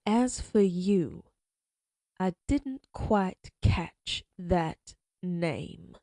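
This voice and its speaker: A woman playing a menacing female outlaw leader who taunts her captives in a slow, mocking drawl. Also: fairly low pitch, expressive, dark tone, no echo, studio quality, heavily acted. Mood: taunting. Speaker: voice actress. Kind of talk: audio roleplay acting.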